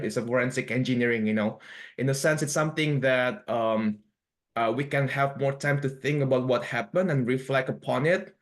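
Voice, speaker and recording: A man from Indonesia, an engineer and lecturer, speaking conversationally in an online panel and often tagging "you know" and "right" onto his sentences. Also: mid-range voice. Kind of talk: online panel discussion and presentation.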